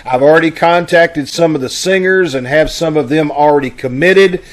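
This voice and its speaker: Higher-pitched man making informal announcements. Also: normal speed. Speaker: host of an amateur radio theater troupe. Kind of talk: informal announcements to an online audience. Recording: video call audio.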